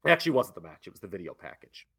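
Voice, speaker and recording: Young adult man, podcast co-host, lively swooping pitch, bright tense tone, incredulous rants. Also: racing, husky. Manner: animated, incredulous ranting. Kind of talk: casual wrestling review chat.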